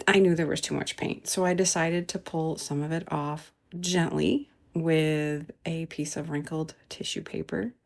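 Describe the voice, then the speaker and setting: Low-voiced woman with a creaky edge. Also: a little mellow. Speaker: art demonstrator and host. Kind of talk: instructional craft demonstration voice-over.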